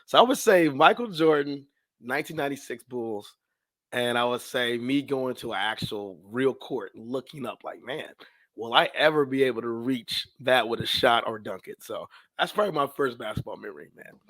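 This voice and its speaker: A man's voice: medium pitch, normal speed, no echo, rough recording. An American man, around his thirties, telling stories casually in an interview, slangy and acting out bits of dialogue as he goes. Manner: animated, slangy, acts out his own past thoughts and dialogue. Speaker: podcast guest, sports public address announcer.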